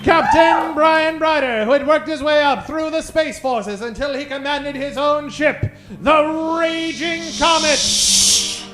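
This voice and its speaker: A man's voice: extremely high pitch, slow pace, over music, crowd noise. A man hosting a radio theatre show, delivering theatrical scripted introductions in a grandiose, mock-dramatic, declamatory old-time announcer style.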